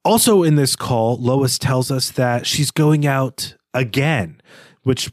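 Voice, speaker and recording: A man's voice: medium pitch, slow, dry recording, studio quality. A man co-hosting a podcast, talking through a literary analysis in a thoughtful, hesitant, conversational way. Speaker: podcast co-host.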